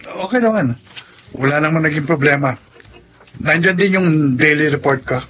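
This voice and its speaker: Casting: male actor as devoted young boyfriend, casual radio drama delivery, higher voice, faint noise. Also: moderate pace, a bit bright, natural voice. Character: easygoing young man devoted to his girlfriend.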